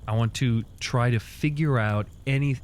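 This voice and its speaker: A man's voice: mid-range voice, normal speed. A man talking casually as a player in a tabletop roleplaying game, stating what his character does. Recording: faint background noise.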